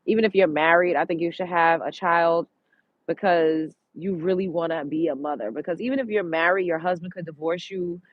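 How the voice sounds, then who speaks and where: A congested woman hosts a live stream from a street, talking bluntly and swearing freely as she answers viewers. Her voice comes through a phone with a dull, dry sound. The talk is chatty and quick to vent. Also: on the low side, dry recording. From Brooklyn, New York. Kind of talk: casual live-stream chat, reading viewer comments. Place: outdoors on a street.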